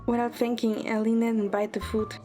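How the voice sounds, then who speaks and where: A woman performs as a young heroine telling her own story in an audio drama. Her voice sits fairly high, fond and quick-witted, with music clearly behind it. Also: level tone, warm tone, close to an everyday voice. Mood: narrating. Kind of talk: first-person scripted narration.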